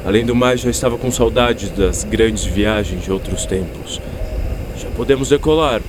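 A man's voice: lowish voice, normal speed, close to an everyday voice. A man playing a keen, genial balloon-travelling doctor in a radio drama. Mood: eager. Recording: clear vehicle-like noise behind.

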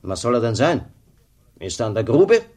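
An actor playing a gruff, blunt former village policeman in a German radio drama, with a rough, husky voice and brusque, grumbling manner. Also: mid-range voice, sing-song, normal speed, darkish tone. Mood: blunt, dismissive.

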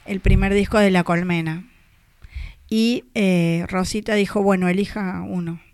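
A woman's voice: mid-range voice, fairly even intonation, measured pace, a little mellow, smooth, no room sound. A woman co-hosting a radio show, casually chatting to introduce music.

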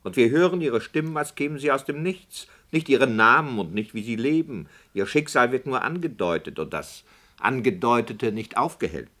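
An actor playing a formal, critical radio director who questions the author's methods. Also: male voice, medium pitch, animated, moderate pace, a bit bright, close to an everyday voice.

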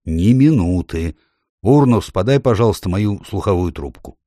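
A man's voice: sits low, animated, normal speed, dark tone, no room sound, studio quality. A man voicing a grumpy, hard-of-hearing old male dwarf lord in a storybook, muttering irritably. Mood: grumbling, scornful. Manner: irritable muttering, mishearing and grumbling.

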